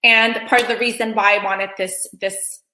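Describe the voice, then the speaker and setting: A woman's voice: medium pitch, fairly even intonation, normal speed, bright, forceful tone, echoey room, slight background noise, poor audio. Chatty woman host, Albanian native. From Kosovo. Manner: chatty, many ums and restarts. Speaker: event host. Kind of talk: casual online meeting chat.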